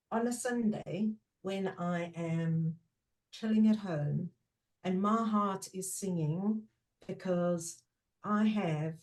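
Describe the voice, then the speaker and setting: Woman, lowish voice, Portuguese first language, middle-aged, measured and reflective, podcast guest. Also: a little mellow. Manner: thoughtful, measured, pauses with fillers. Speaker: guest, trainer and former teacher.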